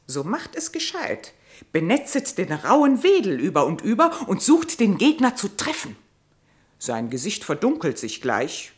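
A woman playing a scheming, solicitous animal aunt in a classic radio play, coaxing theatrically in verse. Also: fairly low pitch.

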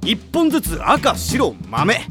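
A man voicing a rowdy, girl-struck high-school athlete in an anime audio drama, overdramatic with drawn-out exclamations. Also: wildly swooping pitch, full character voice. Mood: showy. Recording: music playing behind the voice.

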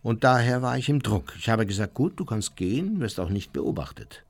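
A former Nazi officer in a radio drama, looking back on his exploits with smug pride. His low voice swings animatedly as he tells the story and slips into the quotes. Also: big pitch swings, moderate pace, vocal fry, exaggerated voice. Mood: proud, cunning. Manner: self-satisfied, vivid storyteller who acts out quotes. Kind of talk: radio play acting, conversational recollection.

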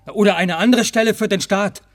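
Self-justifying working-class applicant, slightly high male voice, halting colloquial delivery, dry studio radio play. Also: no echo. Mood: insistent. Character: eager, self-justifying working-class man applying to be executioner. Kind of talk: radio play monologue and dialogue.

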